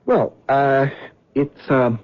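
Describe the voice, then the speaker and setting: An actor playing a nervous, talkative cab driver in a 1970s radio drama, hesitant and apologetic with many stammers. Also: male voice, medium pitch, drawn-out words. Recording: dull old radio broadcast.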